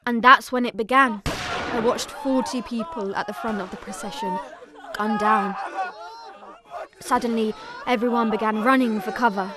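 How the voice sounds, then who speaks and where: A woman's voice: a little high, fairly even intonation, moderate pace, a loud crowd, lightly acted. A woman playing a young girl survivor, giving dramatised first-person narration of an attack. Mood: grave.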